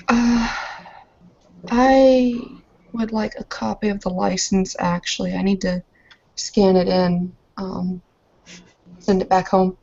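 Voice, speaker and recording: A woman with a medium-pitched voice takes part in a tabletop game. She speaks casually, as in chat and light role-play, on a slightly dull recording. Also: steady tone, slow pace, creaky, pauses often, a little mellow. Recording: slightly muffled home mic.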